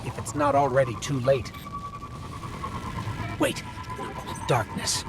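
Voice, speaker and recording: An actor playing a small, worried robot companion in a video game, explaining things earnestly. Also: male voice, mid-range voice, fairly even intonation, slow pace, over music. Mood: urgent, concerned.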